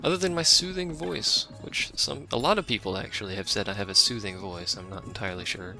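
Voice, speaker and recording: A man with a deep voice giving casual, rambling commentary while he plays a game and chats with his stream viewers, offhand and self-deprecating. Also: brisk, vocal fry, sharp tone, faint music behind. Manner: chats with a live stream chat. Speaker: let's play streamer.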